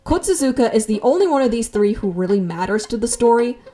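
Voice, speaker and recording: Higher-voiced woman narrating a video essay. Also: animated, fast talker, mellow. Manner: wry, conversational asides. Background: background music.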